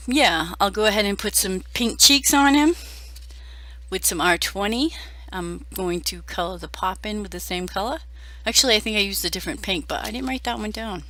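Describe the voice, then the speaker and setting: Hoarse middle-aged woman crafter, chatty and self-deprecating, bright tone. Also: mid-range voice, no echo. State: hoarse from a cold. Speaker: card-making crafter. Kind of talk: casual crafting voice-over.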